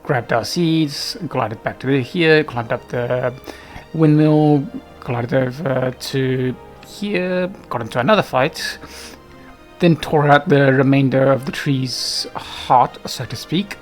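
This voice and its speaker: A man's voice: mid-range voice, slow pace, soft background music. A man giving casual, unscripted gameplay commentary in a hesitant, rambling way, often trailing off. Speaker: gaming video host.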